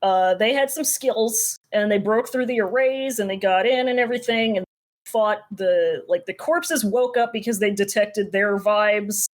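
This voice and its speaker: A woman's voice: medium pitch, measured pace. A woman co-hosting a podcast, chatting casually through a story recap in an irreverent, slangy way with some swearing. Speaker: podcast co-host. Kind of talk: casual book recap chat.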